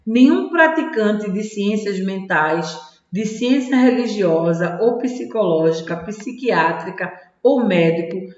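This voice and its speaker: Chatty woman reading from a self-help book and riffing on it, lots of little "tá?" tags, roomy echo, kinda dull lo-fi sound. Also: medium pitch. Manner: chatty, peppers sentences with "tá?" and "né?" tags. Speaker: tarot reader and personal development coach. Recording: echoey room with long reverb, dull lo-fi sound.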